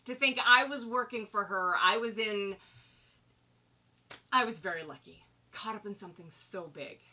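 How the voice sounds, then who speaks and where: A woman's voice: mid-range voice, moderate pace, bright, forceful tone. A woman acting a wistful war bride in a dramatic stage monologue, reflective and confiding as she remembers wartime. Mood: nostalgic.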